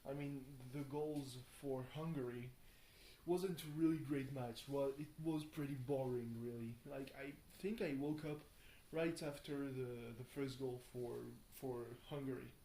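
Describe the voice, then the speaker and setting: A tired young man rambles casually through a video diary, halting and thinking aloud, often questioning himself. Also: mid-range voice, steady tone, warm tone. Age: young adult. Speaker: video blogger. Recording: roomy echo, faint background noise.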